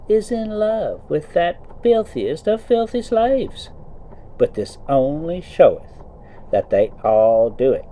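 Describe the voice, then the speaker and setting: A man with a soft-edged, mellow voice reads aloud at an unhurried pace. His pitch moves around a lot as he shifts between theatrical storytelling and casual, colloquial commentary. He is recorded in a motorhome cabin on the road. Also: a little hiss or hum, poor audio. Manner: folksy, colloquial, theatrical when reading. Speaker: vlog host reading a story aloud while driving. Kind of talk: casual story reading with reactions and asides. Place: inside a motorhome being driven.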